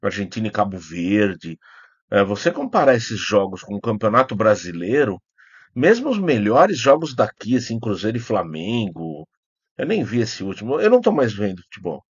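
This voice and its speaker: A man's voice: on the low side, big pitch swings, moderate pace, husky, dry recording, highs cut off. A Brazilian man talking casually and unscripted as a video host, in a rambling, hesitant way, often correcting himself. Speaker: YouTube host, film critic. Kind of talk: casual unscripted vlog talk, answering comments.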